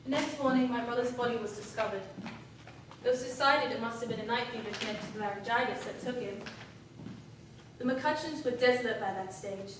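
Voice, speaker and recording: A young woman acting a composed, cunning, sharp-tongued woman in a formal, deliberate theatrical monologue. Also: on the high side, close to an everyday voice. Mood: cool, confiding. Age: young adult. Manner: deliberate, formal, dramatic delivery. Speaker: student actor. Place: classroom performance in front of class. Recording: echoey room, distant mic, background noise.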